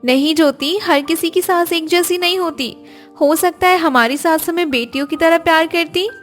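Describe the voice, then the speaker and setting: A woman voicing a sensible, kind elder sister-in-law in a family cartoon, gentle and reassuring. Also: very high voice, moderate pace, soft background music.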